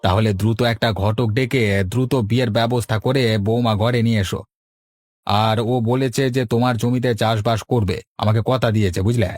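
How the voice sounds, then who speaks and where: A friendly village man in a cartoon, voiced by a male actor, with a middle-pitched voice and a dry recording. He talks in a folksy, avuncular, coaxing manner. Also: steady tone, normal speed, darkish tone, no room sound, natural voice. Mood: eager. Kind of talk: animated cartoon dialogue.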